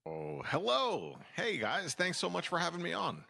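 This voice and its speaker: A man's voice: a little high, sing-song, moderate pace, vocal fry, pushed and bright, dry recording, studio quality. A man appearing as a podcast guest, chatting casually in a self-deprecating, slightly hesitant way. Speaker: podcast guest, social media commentator. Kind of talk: casual interview chat.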